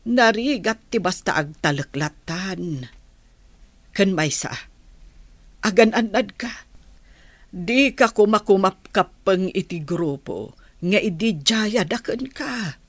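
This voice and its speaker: Casting: gentle concerned mother, slow measured pace, radio drama, dry close sound. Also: medium pitch, expressive, no room sound. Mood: worried. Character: worried, gentle mother of a grown daughter. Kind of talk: Ilocano radio drama acting.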